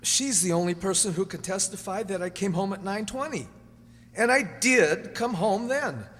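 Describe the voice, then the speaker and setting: A man's voice: high voice, normal speed, fairly bright tone. A man playing a desperate accused man in a radio drama, pleading his innocence with agitation. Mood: anxious, pleading. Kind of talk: stage-style radio drama acting. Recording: noisy recording in a reverberant hall.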